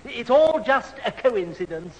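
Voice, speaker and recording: An actor playing a prim, timid, flustered neighbour in a 1970s radio sitcom, fussy and hesitant with stammers. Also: male voice, very high-pitched, very animated, unhurried, highs cut off, exaggerated voice. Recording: reverberant, slightly noisy old radio recording.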